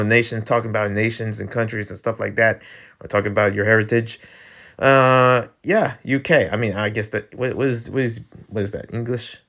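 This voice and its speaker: A man's voice: medium pitch, fairly bright tone. A man with an East Coast American accent hosting his channel, ranting in a rambling way with stammered restarts and laughs. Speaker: channel host. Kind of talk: casual rant vlog.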